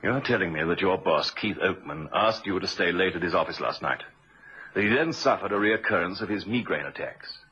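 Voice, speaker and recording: An actor playing a shrewd, probing police inspector with a husky voice in a vintage radio crime drama. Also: male voice, low-pitched, animated, brisk, pushed and bright, dull audio, a little hiss or hum.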